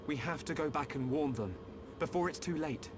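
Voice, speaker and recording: A man's voice: fairly high pitch, moderate pace, natural voice. An actor playing an earnest, polite and determined young male hero in a video game cutscene, over noise. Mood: urgent, resolute.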